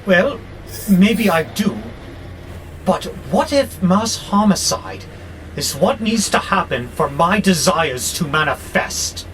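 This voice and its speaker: High-voiced, grandiose robot rebel played by a man. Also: very animated, exaggerated voice. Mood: defiant. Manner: grandiose, slow and theatrical, exasperated. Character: arrogant, frustrated male warehouse robot plotting war on humans. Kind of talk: audio drama acting. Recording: clearly noisy background hum.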